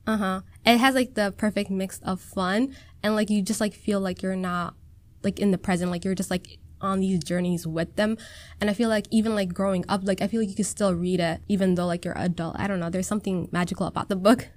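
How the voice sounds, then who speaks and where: A woman in her twenties from Minnesota, a podcast guest talking in a relaxed, hesitant way with many "um"s and "like"s. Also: mid-range voice, fairly quick, no echo. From Minnesota, USA. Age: 20s. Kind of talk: casual chat.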